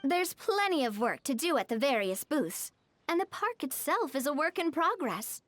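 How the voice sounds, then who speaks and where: A woman's voice: sits high, very animated, normal speed, fairly bright tone. A woman voicing a poised, cheerful young noblewoman in a video game, explaining things in a polished, articulate way. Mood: businesslike, upbeat. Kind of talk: video game story dialogue acting. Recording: studio voice over game music.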